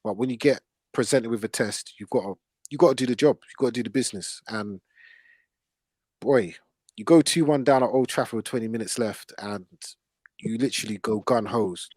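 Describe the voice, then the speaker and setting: A male football podcast host speaks in a dry, close recording. His pitch is middling, and he talks in blunt, slangy, opinionated chat. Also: a little fast, darkish tone, breathy edge, dry recording.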